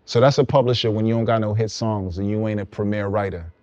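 A young man from Brooklyn, a songwriter guest, explaining his path in relaxed, reflective casual conversation. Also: fairly low pitch, fast talker, no echo, highs cut off. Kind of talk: casual podcast chat.

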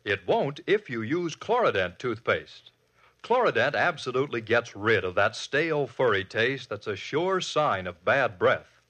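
Male 1950s ad voice, emphatic, slightly husky. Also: fairly bright tone. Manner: emphatic sales pitch. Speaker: commercial announcer. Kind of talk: 1950s radio advertisement.

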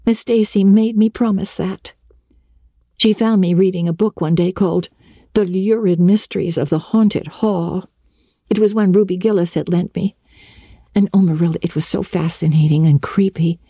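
A woman's voice: on the low side, steady tone, moderate pace, dark tone, slightly rough, no echo, studio quality. A woman voicing an earnest, talkative thirteen-year-old girl in a dramatic storybook reading, with breathless, solemnly emphatic delivery. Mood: earnest, gushing.

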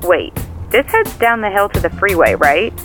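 A woman's voice: mid-range voice, very animated, normal speed, music in the background, natural voice. A woman acting in an audio drama as a chatty, slightly flustered driver tailing a suspect, reporting what happens in a hesitant, conversational way. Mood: focused, tense. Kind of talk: naturalistic audio drama phone dialogue.

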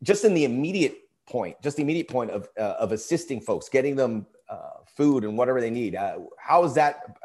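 A man's voice: mid-range voice, lively intonation, fairly quick, a little mellow. A man hosting an interview, asking long and rambling questions full of restarts. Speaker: interview host. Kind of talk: casual interview talk.

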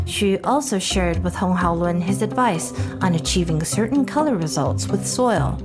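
A woman narrating a news feature in a measured, scripted reading voice. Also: lowish voice, animated. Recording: narration over loud music.

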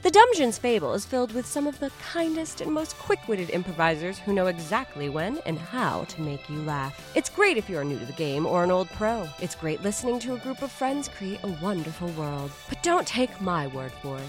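A woman reading a comedy promo with playful, mock-flustered timing. Her fairly low voice leaps high and drops low, and faint music plays underneath. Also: very animated, normal speed, hardly any pauses. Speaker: podcast promo reader. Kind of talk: comic scripted ad read.

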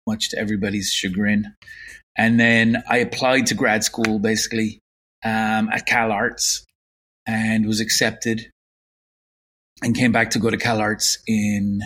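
Irish guy in his 50s, limerick accent, kinda husky, reflective storytelling vibe. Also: lowish voice, a bit bright. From Ireland. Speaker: guest. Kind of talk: casual interview.

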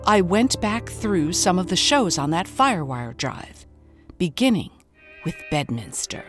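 A woman's voice: fairly low pitch, very animated, measured pace, soft background music. A woman podcast host, delivering scripted investigative narration and interview questions.

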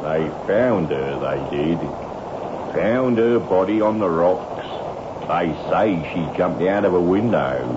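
A man's voice: deep voice, lively intonation, slow pace. An actor playing a rustic male petrol pump attendant with a folksy, foreboding way of talking in an old radio drama. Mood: ominous, warning. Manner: folksy country dialect, foreboding. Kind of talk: 1960s radio horror drama acting. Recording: noisy, dull old 1960s radio recording.

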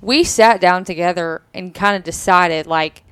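A woman's voice: fairly low pitch, unhurried, clean tone, dry recording. A woman in her twenties talking candidly on a podcast at a trade expo, thinking aloud with hedges. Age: 20s. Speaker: podcast co-host, married to co-host. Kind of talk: casual podcast conversation.

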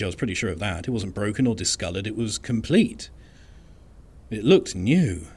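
Low gravelly man narrating. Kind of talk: scripted first-person fiction narration.

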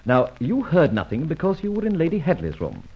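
Dull old radio recording of a breezy gentleman adventurer. His pitch leaps about with great animation as he teases and banters in flippant, theatrical style. The voice has a husky grain and plenty of mischief. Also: wildly swooping pitch, brisk, exaggerated voice. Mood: brisk, bantering. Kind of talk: mid-century radio drama acting.

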